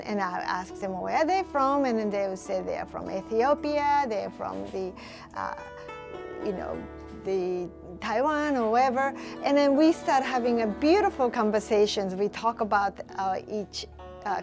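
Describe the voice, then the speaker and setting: Japanese woman, earnest and hesitant. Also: on the high side. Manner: earnest, hesitant with many fillers. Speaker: local businesswoman. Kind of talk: interview answers.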